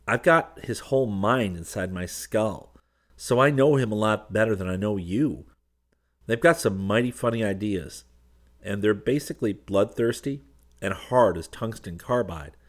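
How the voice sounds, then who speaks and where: A man voicing a confident, plain-spoken adventurer in an audiobook, with a wry, folksy manner. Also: fairly low pitch. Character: confident, breezy adventurer man who explains things.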